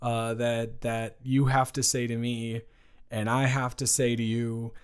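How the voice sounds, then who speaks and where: Awkward, teasing ex-boyfriend played by a man, slow delivery, medium pitch, stammering, for intimate audio roleplay. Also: level tone, clean tone, close to an everyday voice. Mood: awkward, playful. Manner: halting, stammering, with long pauses. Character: awkward, earnest, teasing young ex-boyfriend trying to reconcile. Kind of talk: improvised romantic audio roleplay, addressed to the listener.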